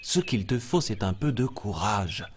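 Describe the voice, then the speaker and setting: A man's voice: fairly low pitch, very animated, measured pace, a little hiss or hum. A man playing a kindly, reassuring adult jungle animal who teaches and tells stories in a children's cartoon. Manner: patient, encouraging, storytelling.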